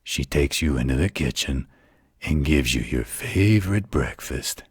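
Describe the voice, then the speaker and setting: A man playing a gentle, patient werewolf who tells a bedtime story in a soothing, tender manner. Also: very deep voice, expressive, unhurried, husky, dry recording, studio quality, exaggerated voice. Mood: soothing storytelling. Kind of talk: intimate bedtime roleplay storytelling.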